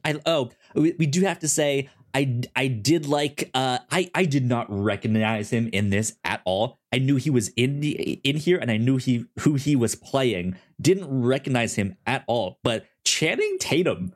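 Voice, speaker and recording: A podcast co-host chatting casually about TV in a halting way, often repeating words mid-sentence. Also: medium pitch, animated.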